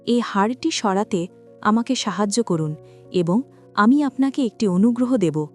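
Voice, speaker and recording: A woman's voice: mid-range voice, animated, unhurried, a little mellow, soft background music. A woman voicing a powerful, deceitful shark in a children's moral story. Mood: pleading. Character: powerful arrogant deceitful shark, pleading when in need.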